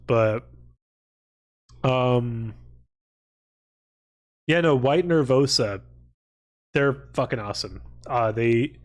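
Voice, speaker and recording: A man hosting a casual livestream, rambling along and swearing freely. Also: medium pitch. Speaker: livestream host.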